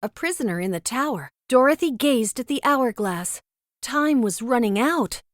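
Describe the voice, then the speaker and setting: High, lively woman storybook narrator. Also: normal speed, no room sound, clean studio sound. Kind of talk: scripted read-aloud children's story narration.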